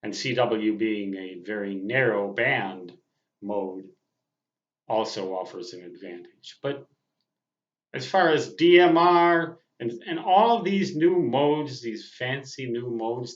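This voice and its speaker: An older man, a ham radio hobbyist, giving an unscripted monologue to viewers in deliberate, wordy, self-deprecating phrases. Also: medium pitch, expressive. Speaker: amateur radio operator and vlogger. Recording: dull, roomy, echoing sound.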